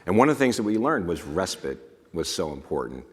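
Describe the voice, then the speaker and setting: A man's voice: deep voice, very animated, fairly quick, husky. A middle-aged man, a home care business owner, speaking on a conference panel in an easygoing, self-deprecating way. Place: conference stage. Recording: long reverb of a large hall.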